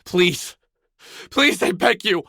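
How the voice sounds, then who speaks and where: A man's voice: sits high, expressive, slow pace, bright, forceful tone, dry recording, studio quality, heavily acted. An actor playing a desperate middle-aged man in a dramatic video game, stammering and pleading. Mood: panicked, pleading.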